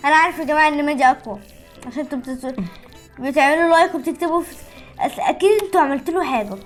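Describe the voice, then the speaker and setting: Woman, Egyptian Arabic, high voice, rapid-fire delivery, whiny competitive game banter, pleading. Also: soft background music. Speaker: gaming channel host. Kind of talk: casual bantering gameplay commentary.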